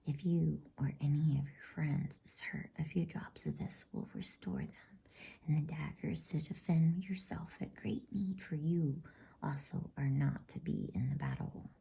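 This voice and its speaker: Storybook old man, read by a woman, grave yet kind, hushed airy whisper, dark tone, measured ASMR delivery. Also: low voice, expressive, heavily acted. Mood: solemn, kindly. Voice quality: breathy whisper.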